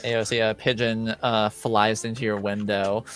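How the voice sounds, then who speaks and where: A man acting as game master, narrating a tabletop game in a casual, halting, laid-back manner. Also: lowish voice, flat delivery, fairly bright tone, clear, resonant tone.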